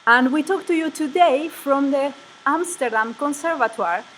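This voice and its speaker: A woman's voice: high-pitched, moderate pace, a bit bright, a little hiss or hum, low-quality recording. A woman from Spain with a Spanish accent, presenting a live online session for a music ensemble. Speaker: ensemble member presenting a livestream. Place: room at a music conservatory.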